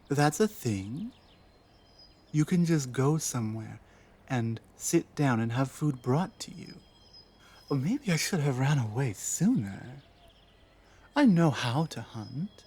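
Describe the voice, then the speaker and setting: A woman voicing an earnest, honor-bound female orc in an ASMR roleplay, formal yet halting in manner. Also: extremely low pitch, dark tone, slightly rough, full character voice.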